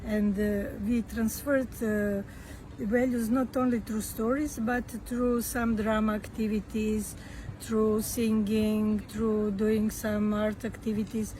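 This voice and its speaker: A woman with a Serbian accent, a non-native English speaker, speaking as a podcast guest. She talks in a slow, halting way, with many fillers and restarts. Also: medium pitch, little pitch movement, warm tone, a little hiss or hum, low-quality recording. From Croatia. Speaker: guest author and activist. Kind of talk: podcast interview.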